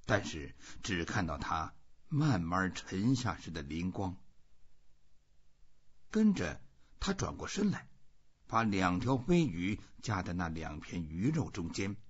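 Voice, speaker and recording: A man reading literary fiction aloud as scripted, measured and expressive storytelling. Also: low voice, slightly rough. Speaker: audiobook reader. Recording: dull, muffled lo-fi audio.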